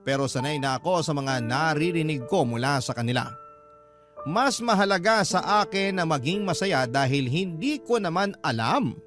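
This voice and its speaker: A man's voice: fairly high pitch, expressive, normal speed. A man narrating a scripted first-person story as a radio storyteller reading out a letter. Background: background music.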